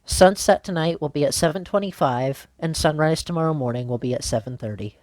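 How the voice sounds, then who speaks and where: Woman acting as morning news anchor lady, composed n formal, measured scripted read, very dry close sound. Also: sits low, a little mellow, no echo, natural voice. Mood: composed, serious.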